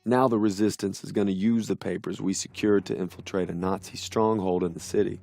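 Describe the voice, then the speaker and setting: An actor playing an earnest American soldier giving first-person war game narration, plainspoken and reflective, with an American accent. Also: male voice, lowish voice, steady tone, fairly quick, creaky, a little mellow, dry recording, soft background music. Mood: reflective, determined.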